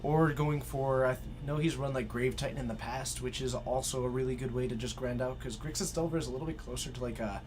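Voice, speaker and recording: A man's voice: medium pitch, fast talker, hardly any pauses, background noise. A man in his twenties, commentating a live card game stream from a game store with dry joking banter. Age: 20s.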